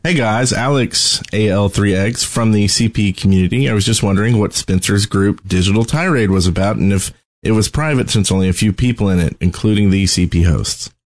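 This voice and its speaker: A man's voice: fairly low pitch, fairly quick, hardly any pauses, no echo. A man co-hosting a podcast, chatting casually with the group. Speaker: podcast co-host.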